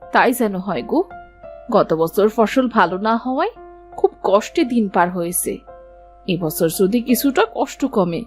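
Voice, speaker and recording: A woman's voice: mid-range voice, expressive, normal speed, a little mellow, faint music behind, lightly acted. A woman playing a poor farmer's wife and mother in a cartoon moral tale, earnest, pious and plaintive. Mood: hopeful. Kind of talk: animated moral-tale dialogue.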